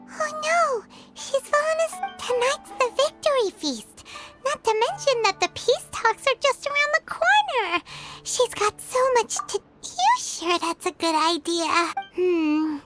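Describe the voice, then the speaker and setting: A woman voicing a tiny, childlike, cheerful and excitable fairy companion in a video game. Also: extremely high pitch, slow pace, heavily acted. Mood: worried. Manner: speaks of herself by name in the third person, chirpy. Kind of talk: video game character acting. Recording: game dialogue over loud music.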